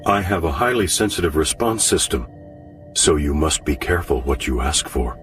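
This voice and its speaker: Talking virtual room: very low, courteous, encyclopedic, set back behind loud music. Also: male voice, expressive, moderate pace, distant mic. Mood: formal, helpful. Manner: formal, instructive, encyclopedic. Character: polite talking virtual-reality room, an artificial guide. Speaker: computer guide. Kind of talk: radio drama acting.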